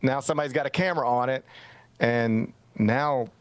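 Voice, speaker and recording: A man's voice: medium pitch, moderate pace, smooth, dry recording. A middle-aged man hosting a sports talk show, chatting casually and telling a rambling, self-mocking story. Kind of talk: casual radio-style chat.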